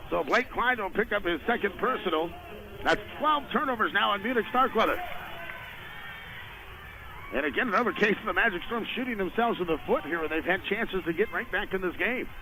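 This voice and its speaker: High-pitched man calling basketball on noisy radio. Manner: rapid clipped descriptions, rising to excited shouts on big plays. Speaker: basketball play-by-play announcer. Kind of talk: live radio sports commentary. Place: indoor basketball game. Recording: noisy radio broadcast from a gym.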